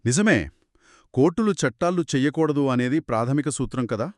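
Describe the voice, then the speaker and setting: A man's voice: mid-range voice, animated, normal speed, a little mellow, no echo, clean studio sound. A man hosting a scripted explainer discussion, asking questions and recapping points in an inquisitive way. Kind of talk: scripted two-person legal explainer discussion.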